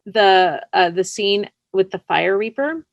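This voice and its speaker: A woman speaks as a writer being interviewed, in a chatty and casual way. Her voice sits at a middle pitch, and she talks with animation. The sound is dry and close, free of room echo. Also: lively intonation, slow pace, vocal fry, lo-fi sound.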